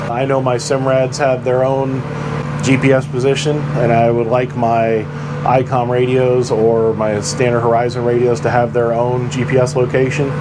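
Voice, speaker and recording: A man with a medium-pitched voice talks through gear in an informal, practical way. He is plain-spoken and explanatory, like someone hosting a buyer's guide. There is clear background noise behind him, like air conditioning. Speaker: boating gear reviewer and host.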